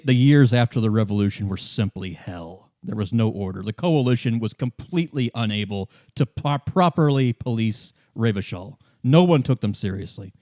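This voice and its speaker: A man's voice: mid-range voice, dark tone, no room sound, studio quality, natural voice. Man playing a composed, world-weary female company representative. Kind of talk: in-character tabletop roleplay exposition.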